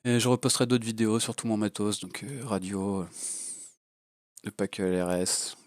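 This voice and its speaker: A man's voice: lowish voice, fairly even intonation, moderate pace, no room sound, studio quality. A man with a cold, rambling bluntly and crudely through a casual drone gear review, with lots of fillers. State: has a cold. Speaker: FPV drone hobbyist reviewer. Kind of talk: casual unscripted product review. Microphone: low-level home mic.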